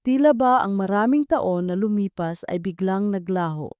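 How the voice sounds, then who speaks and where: A woman's voice: medium pitch, moderate pace, a little mellow, clean tone, no echo. A woman storyteller reading scripted romantic drama narration with a dramatic delivery.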